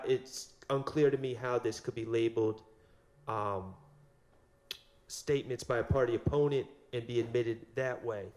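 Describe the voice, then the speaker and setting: Male defense lawyer, mid-pitched, measured and halting, addressing a judge in a courtroom argument. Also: darkish tone. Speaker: defense attorney.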